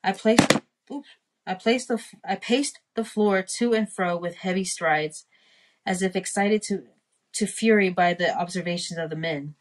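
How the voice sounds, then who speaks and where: A woman reading a classic short story aloud, haltingly and carefully, sounding out the hard words as she goes. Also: fairly low pitch, low-quality recording. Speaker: reader of a storytime podcast.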